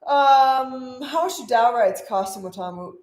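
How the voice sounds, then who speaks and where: A woman's voice: sits high, unhurried, a little mellow, reverberant. A young woman chatting casually on a live travel hangout and reading out viewer questions. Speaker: travel vlogger, co-host.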